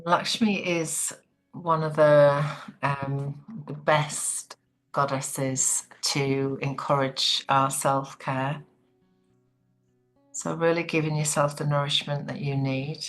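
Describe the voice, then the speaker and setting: A woman leading a guided relaxation meditation in a soothing, gentle, unhurried manner. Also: low-pitched, level tone, soft background music, rough recording.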